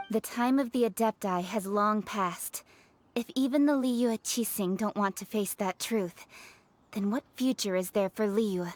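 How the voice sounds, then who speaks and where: A woman voicing a proud, candid young official in a video game, speaking briskly and formally with self-assurance. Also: mid-range voice, a little hiss or hum, close to an everyday voice. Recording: music behind the voice.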